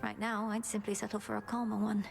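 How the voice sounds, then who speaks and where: An actress playing a determined, guarded young Underworld princess in a video game cutscene, earnest, formal and self-possessed. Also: female voice, mid-range voice, level tone, fast, gravelly, soft background music.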